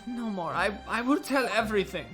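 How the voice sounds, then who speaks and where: A woman's voice: mid-range voice, expressive, fairly quick, fairly bright tone, voice pushed up, close to an everyday voice. A woman voicing an earnest Russian seaman who speaks broken English with a put-on Russian accent, over game music. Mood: pleading. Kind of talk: video game dub reading. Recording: game music playing underneath.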